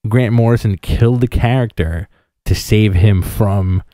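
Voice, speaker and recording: Man, low pitch, dry close mic, jokey podcast co-host who rambles. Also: unhurried, mellow, no echo. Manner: chatty, jokey, filler-heavy. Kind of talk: casual comics chat.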